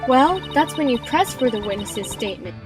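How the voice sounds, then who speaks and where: Woman doing a peppy young lady lawyer, high voice, eager and feisty, game fandub with music behind. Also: expressive, normal speed. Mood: eager, instructive. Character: perky, feisty young female rookie lawyer. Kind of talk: fan-dub game character acting. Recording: music under the voice.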